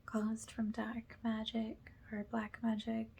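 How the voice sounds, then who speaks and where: A soft-spoken woman who often drops into a whisper as she gently guides an ASMR spiritual cleansing. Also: a little high, monotone, slow pace, creaky, slight background noise. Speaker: ASMR energy healer, host.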